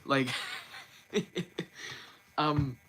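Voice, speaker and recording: A man's voice: on the high side, extremely slow, fairly bright tone, slightly rough, poor audio. A man hosting a casual livestream and talking off the cuff. He stumbles, restarts his sentences and repeats words often. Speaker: livestream host.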